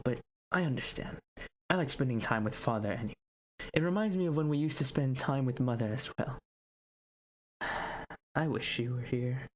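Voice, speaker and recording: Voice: medium pitch, slight background noise, lightly acted. An actor playing an anxious young prince who misses his father, speaking in a hesitant, plaintive, earnest way in an audio drama. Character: anxious, grieving young prince, devoted son. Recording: music bed behind the voice.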